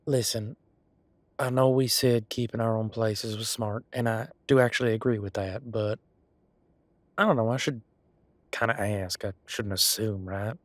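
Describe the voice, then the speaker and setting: A man's voice: fairly low pitch, steady tone, normal speed, dry recording, natural voice. A man playing an easygoing, sincere werewolf boyfriend in a roleplay audio drama, talking casually and a little bashfully. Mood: hesitant, hopeful.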